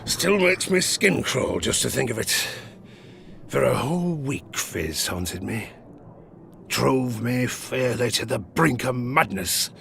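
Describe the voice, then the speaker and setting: An actor playing a grizzled old sailor, gruffly telling children a dark bedtime tale in an audio drama. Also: male voice, mid-range voice, animated, slight background noise, heavily acted. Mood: indignant. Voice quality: gravelly. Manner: theatrical, gruff, suspenseful storytelling with dramatic pauses.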